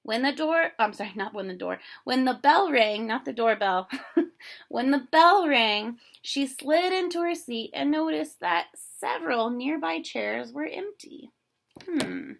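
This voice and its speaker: Female storybook reader for kids, expressive and chatty, slower pace with some creak in the voice. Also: sits high. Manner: chatty, playful, expressive, exclaims and comments to young listeners. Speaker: teacher reading a children's book aloud. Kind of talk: animated story read-aloud with casual asides.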